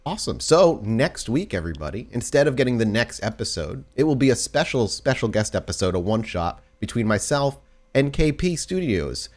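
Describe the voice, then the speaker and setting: A man's voice: medium pitch, big pitch swings, brisk. A man hosting and narrating a tabletop role-playing podcast as its storyteller. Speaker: host and game storyteller. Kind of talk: casual show chat and game narration.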